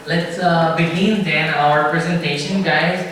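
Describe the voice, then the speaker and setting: A man's voice: on the high side, steady tone, measured pace, a bit bright. A man in his twenties giving a casual, joking presentation to a class of students, checking in with them as he goes. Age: 20s. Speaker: guest presenter. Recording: echoey room, voice from far off, faint noise.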